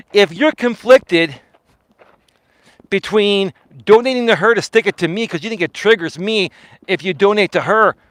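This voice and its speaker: Blunt, high-voiced man ranting on a live stream. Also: fairly bright tone, dry recording. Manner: blunt, argumentative, repeats "in my opinion". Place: outdoors on a walk.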